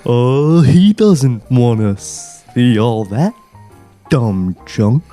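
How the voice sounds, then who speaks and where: Man doing a slow dopey cartoon turtle, drawling, sluggish, faint music. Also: medium pitch, lively intonation, warm tone. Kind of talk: comedic script table read.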